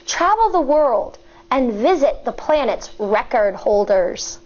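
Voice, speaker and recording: High-pitched American woman reading to children, unhurried. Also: big pitch swings, a little mellow, lo-fi sound. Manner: deliberate, instructive, addressing young children. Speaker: elementary school teacher reading aloud. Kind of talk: children's picture-book read-aloud with explanatory asides.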